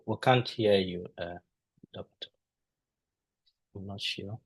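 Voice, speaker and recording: A man presenting a board at an online ceremony, speaking haltingly with frequent fillers. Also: sits low, husky, dry recording. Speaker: master of ceremonies. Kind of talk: virtual ceremony hosting.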